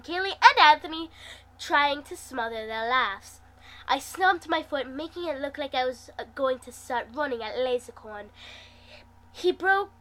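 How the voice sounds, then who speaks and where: A woman reading fan fiction aloud in an expressive, dramatic manner, acting out the dialogue as she goes. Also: sits high. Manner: animated, dramatic delivery. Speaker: amateur fan fiction reader, host.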